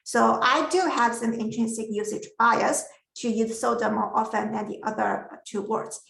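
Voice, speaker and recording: A woman whose first language is not English, giving an academic masterclass presentation with careful explanations and frequent pauses. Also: a little high, fairly even intonation, creaky, fairly bright tone, echoey room, poor audio. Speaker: lecturer.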